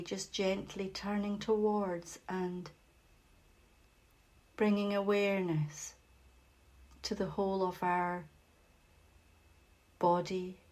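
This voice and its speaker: Very slow, gentle woman guiding meditation. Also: medium pitch. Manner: gentle, unhurried, with long pauses. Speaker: meditation facilitator. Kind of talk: guided meditation.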